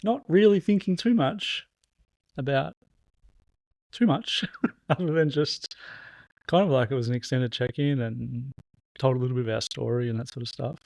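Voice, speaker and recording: A man hosting a podcast, calm and easy-going, asking open questions. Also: mid-range voice, moderate pace, gravelly, long pauses, no room sound. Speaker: podcast host. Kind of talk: casual chat.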